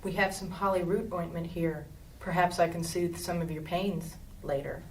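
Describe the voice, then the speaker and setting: A woman's voice: lowish voice, fairly even intonation, normal speed, far from the mic, a little hiss or hum, lightly acted. An actress playing a flirtatious female thief in an audio drama, speaking in a sultry, teasing way. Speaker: actor.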